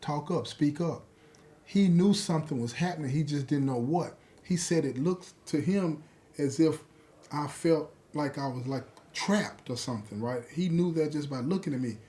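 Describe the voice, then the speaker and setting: A man giving a reflective personal testimony, speaking in deliberate, halting phrases with pauses. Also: mid-range voice, warm tone, slight background noise. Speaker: prisoner telling his own story.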